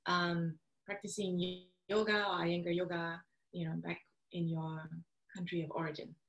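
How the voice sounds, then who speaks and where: A woman's voice: fairly low pitch, little pitch movement, normal speed. A woman interviewing a guest in a casual, friendly way, using many fillers like um and you know. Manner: friendly, with frequent ums and you-knows. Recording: roomy echo.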